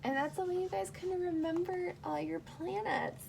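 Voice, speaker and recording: A woman reading a rhyming picture book aloud to young children, pausing to explain and put simple questions to her listeners. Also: very high voice, fairly even intonation, vocal fry, a little mellow, slight background noise.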